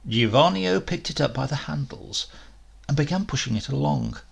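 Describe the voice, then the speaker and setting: A man reading a literary story aloud in an expressive, measured, dramatic storytelling manner. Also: medium pitch, vocal fry, slightly rough. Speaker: story reader.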